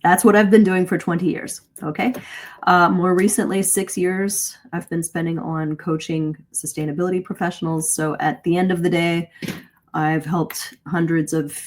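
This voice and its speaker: A woman's voice: fairly low pitch, level tone, moderate pace. A middle-aged woman teaching a live online English lesson in a conversational, encouraging way, explaining and stressing her key points. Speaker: English communication coach, host. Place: live video stream.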